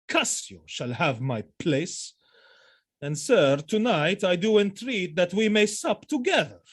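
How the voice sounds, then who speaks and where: A man playing a jealous, enraged noble commander and husband in a Shakespearean audio drama, theatrical and volatile, with a rough husky voice. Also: high voice, big pitch swings, a little slow, heavily acted. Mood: strained formality. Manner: theatrical, volatile, swinging from hushed to shouting.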